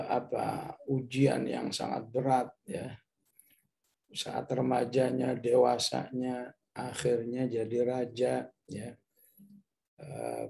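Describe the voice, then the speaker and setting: A man teaches scripture in a dark, mellow voice with a gravelly creak. His delivery is very slow and halting, like a patient lesson, broken by short confirming tags. He moves between explanation and Arabic recitation. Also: mid-range voice. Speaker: Islamic teacher, Quran commentator.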